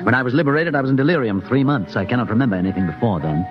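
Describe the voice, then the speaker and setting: An actor playing an aloof, haunted scientist doctor, formal and reserved, in 1950s radio drama style. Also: male voice, mid-range voice, lively intonation, very fast, close to an everyday voice. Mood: reserved, detached. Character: aloof scientist doctor, haunted war survivor, emotionally detached. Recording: dull old radio broadcast, music behind.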